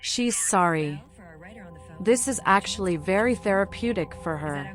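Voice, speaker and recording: A woman's voice: medium pitch, lively intonation, measured pace, lightly acted. A woman playing a mad vampire in a video game, speaking in eerie, oracular riddles over music. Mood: calm menace. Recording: music behind the voice.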